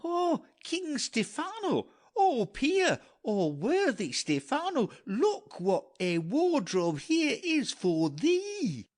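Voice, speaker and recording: A man's voice: very high voice, wildly swooping pitch, slow pace, hardly any pauses, exaggerated voice. A man voicing a silly, whining jester with exaggerated singsong clowning in a dramatic Shakespearean audiobook. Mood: delighted, foolish. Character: silly, whining, high-voiced male jester.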